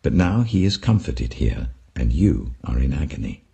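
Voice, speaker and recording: A man voicing a stern, grave old patriarch in a scripture parable, unhurried and fatherly. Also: a bass voice, very animated, creaky, warm tone, exaggerated voice. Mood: grave, firm.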